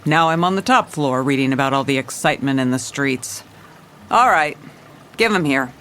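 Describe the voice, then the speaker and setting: An actress playing a jaded, wry police commissioner who narrates in hardboiled detective style in an audio drama. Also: female voice, extremely low pitch, lively intonation, normal speed, a bit bright, a little hiss or hum. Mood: wry, weary. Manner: wry, world-weary. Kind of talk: scripted noir detective narration with dialogue.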